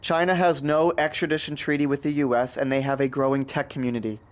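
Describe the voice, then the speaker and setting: An actor playing a scheming, unhinged tech worker in an audio drama, persuasive and domineering. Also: male voice, mid-range voice, flat delivery, quick pace, natural voice.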